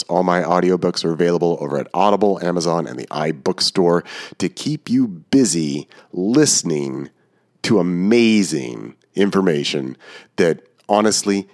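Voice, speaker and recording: A man hosting a podcast, congested from a cold, talking in a relaxed, rambling way with fillers. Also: sits low, creaky, no echo, studio quality. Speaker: podcast host. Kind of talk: casual podcast talk.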